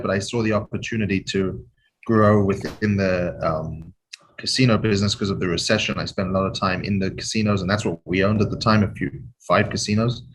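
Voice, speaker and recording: Low-voiced man in his thirties. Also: slight background noise, rough recording. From born in South Africa, grew up in London. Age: 30s. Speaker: interview guest, casino chief executive. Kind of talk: casual conversational interview talk.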